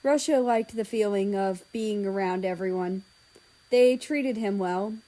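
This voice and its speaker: A woman reads a story aloud as narrator. Her voice sits at an average pitch for a woman, following a written script. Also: unhurried, warm tone, rough recording. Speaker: story reader.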